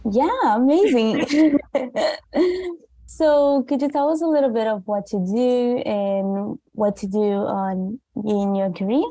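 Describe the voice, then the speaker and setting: A woman's voice: fairly high pitch, slow pace, mellow, no room sound. A young woman from Brazil, hosting an interview over a video call in a friendly, hesitant way with many fillers. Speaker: host.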